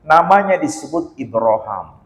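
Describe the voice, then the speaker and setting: An Indonesian man giving a religious lecture in a mosque, animated and teasing, with rhetorical questions. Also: on the high side. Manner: chants Quran verses in a slow melodic recitation. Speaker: Islamic lecturer. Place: mosque hall. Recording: echoey mosque hall.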